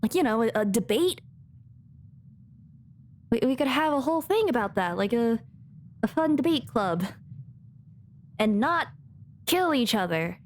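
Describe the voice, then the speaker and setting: A woman's voice: a little high, sing-song, unhurried, long pauses, a little hiss or hum. A woman giving casual live gameplay commentary, exclaiming and muttering to herself as she reacts. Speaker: game streamer. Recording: game music behind the voice.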